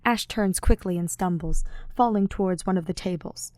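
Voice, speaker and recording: A woman's voice: lowish voice, normal speed, a little mellow, no room sound. Woman narrating fiction in a dry space. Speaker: audiobook narrator.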